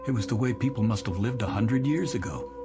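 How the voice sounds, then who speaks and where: An American actor playing a middle-aged, disillusioned executive in a radio drama, halting and repeating himself as he speaks. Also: lowish voice, lightly acted. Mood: wistful, dreamy. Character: weary, disillusioned married male executive, dreamy and self-deprecating. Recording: music bed under the dialogue.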